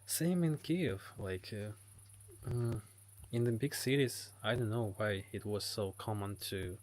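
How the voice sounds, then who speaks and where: A man's voice: lowish voice, a little slow, a little mellow, a little hiss or hum. A Ukrainian man with a Ukrainian accent, hosting a live stream and answering chat comments in hesitant English full of fillers. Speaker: livestream host. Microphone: phone.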